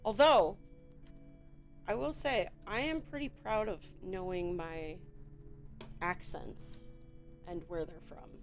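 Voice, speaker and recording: A Midwestern woman with a Chicago accent, chatting in a rambling, relaxed way while she builds a toy set on stream. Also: fairly low pitch, soft background music. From Midwestern United States. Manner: chatty, rambling, slow and drawn out, with frequent fillers.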